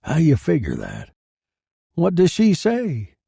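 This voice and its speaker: A man's voice: a little high, wildly swooping pitch, takes it slowly, creaky, warm tone, breathy edge, no echo, studio quality, voice pushed up, full character voice. A man voicing a morbid, flippant coroner who relishes his grisly work, in a noir crime drama.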